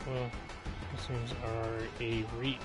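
A man giving live gameplay commentary, excitable and jokey, exclaiming and swearing as he plays. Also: mid-range voice, takes it slowly. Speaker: game streamer. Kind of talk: live gameplay commentary, reacting and reading chat. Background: video game music, gunfire.

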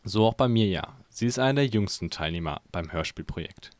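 A man's voice: lowish voice, expressive, fairly quick, dry recording. A man reading scripted narration for a news report as its reporter.

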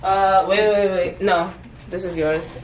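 A woman's voice: medium pitch, fairly even intonation, unhurried, reverberant, slight background noise, poor audio. A woman chatting and joking with friends in a hotel room, filmed on a phone. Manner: joking, laughing, handing out drinks. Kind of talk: casual chat with friends.

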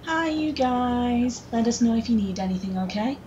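Young female shop clerk, actress: polite, perky retail pitch, higher voice, noisy home recording. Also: lively intonation, normal speed, warm tone, muffled recording, lightly acted. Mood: polite, awkward.

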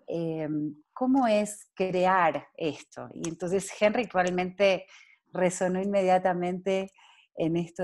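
Woman with Argentine accent, lower voice, warm chatty interviewer, laughs easily. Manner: friendly, laughing, searching for words. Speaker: interviewer, facilitator. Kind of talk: casual video call interview. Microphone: video call.

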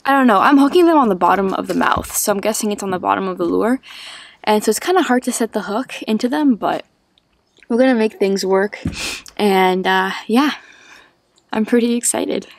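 A young woman in a small boat out on a lake, chatting upbeat to her action camera. Her voice has a gravelly edge of vocal fry, and she laughs easily at herself between remarks. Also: mid-range voice, moderate pace, dry recording. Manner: upbeat, chatty, laughs often, self-deprecating. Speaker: fishing vlogger. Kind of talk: casual vlog talk to camera. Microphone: action camera on chest or mount.

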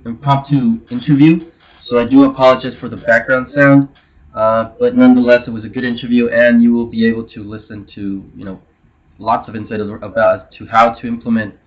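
Flat-voiced man introducing a podcast. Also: mid-range voice, fairly quick. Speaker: podcast host. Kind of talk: show intro. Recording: dull lo-fi sound.

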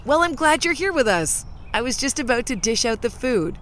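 A woman's voice: on the high side, big pitch swings, normal speed, a bit bright, slight background noise. A woman playing a chatty, caring young lawyer in an amateur audio drama. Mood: friendly. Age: young adult.

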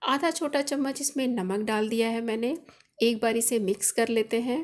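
A woman's voice: fairly high pitch, fairly even intonation, moderate pace. A woman hosting a cooking channel, explaining each step of a recipe in a chatty, instructive voice-over. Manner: friendly instructive, chatty home-cook explaining.

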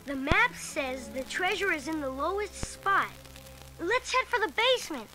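A woman's voice: very high voice, a little slow, heavily acted, rough recording. An eager young boy leader. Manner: earnest, excitable. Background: music.

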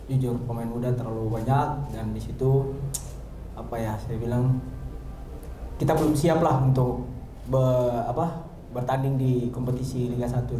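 A man's voice: medium pitch, warm tone. A young man, a football player speaking in plain, conversational short phrases at a press conference. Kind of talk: post-match press conference remarks. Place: indoor press conference room. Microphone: handheld microphone. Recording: echoey, noisy press room.

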